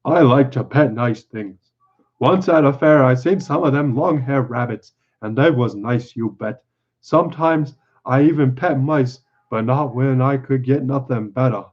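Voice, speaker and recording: A man's voice: medium pitch, measured pace, lightly acted. Casting: male narrator as big simple-minded farmhand type, childlike, rustic, earnest and easily panicked, on a dull recording. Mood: earnest, pleased. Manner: rustic ungrammatical speech, simple earnest wording.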